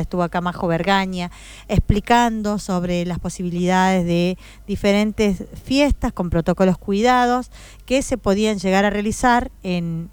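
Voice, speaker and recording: A woman's voice: medium pitch, clear, resonant tone, no echo. A woman hosting a radio program, chatting informally with a co-host. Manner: warm, informal, talks to co-host as "compa". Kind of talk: conversational radio chat.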